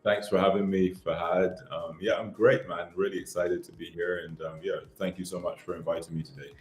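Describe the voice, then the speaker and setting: A man in his thirties from Barbados, a podcast guest telling his life story in a relaxed, rambling way with lots of fillers. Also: deep voice, a little fast, echoey room, soft background music. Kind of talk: casual interview chat.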